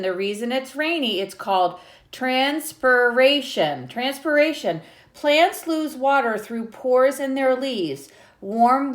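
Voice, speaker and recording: A woman reading a children's picture book aloud like a teacher, with expressive delivery and short explanatory asides to young listeners. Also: fairly high pitch.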